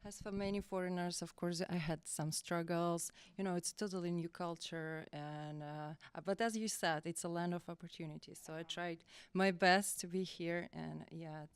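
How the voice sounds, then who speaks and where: A young woman with a Russian accent chats as a guest, halting and searching for her words between laughs. Her voice sits a little low and sounds smooth and resonant, close and dry with no room around it. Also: steady tone, dry recording. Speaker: guest belly dancer. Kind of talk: casual interview chat.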